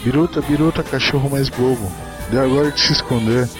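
A man's voice: mid-range voice, brisk, music in the background, close to an everyday voice. A man playing an eager, tender orphan servant boy in a dramatic story reading. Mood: apologetic.

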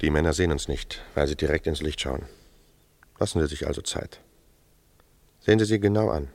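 An actor playing a seasoned, matter-of-fact police sergeant in a German crime radio play, measured, dryly cynical and authoritative. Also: male voice, very deep voice. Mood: businesslike.

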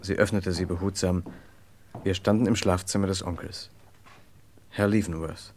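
An actor playing an earnest, gallant gentleman who narrates and investigates in a German radio crime drama, with a formal, polite manner. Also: low-pitched, steady tone, moderate pace, slight background noise, natural voice. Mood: grave narration.

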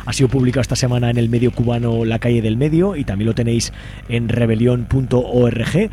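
A man's voice: mid-range voice, brisk, mellow, a little hiss or hum. A man Spain, presenting a live community radio show as its host a studio microphone. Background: music.

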